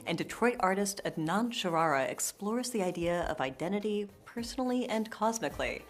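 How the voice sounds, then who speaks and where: A woman giving scripted documentary narration in a smooth, measured reading. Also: medium pitch, animated, faint music behind.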